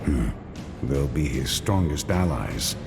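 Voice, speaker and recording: An actor playing a grim, determined warrior in a game cutscene, with terse, brooding delivery. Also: male voice, very deep voice, expressive, slow, gravelly, exaggerated voice. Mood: wary, grim. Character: grim, determined male warrior set on defeating his enemies. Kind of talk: dramatic game cutscene acting. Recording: long hall reverb, music behind.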